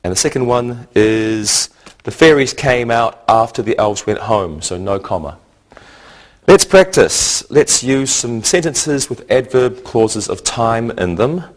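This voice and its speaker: Guy teaching english grammar, really slow and deliberate, breaks everything into short bits, normal pitch. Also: animated. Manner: deliberate, didactic, spells out each point in short phrases. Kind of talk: slow, scripted grammar lesson.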